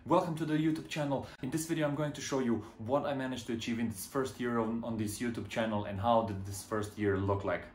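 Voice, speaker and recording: A man's voice: medium pitch, steady tone, fast, hardly any pauses, roomy sound. A young man from Croatia with a Croatian accent, talking casually to camera as a vlogger, with lots of ums and uhs. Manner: hesitant, many ums and uhs. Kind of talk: casual vlog talk to camera.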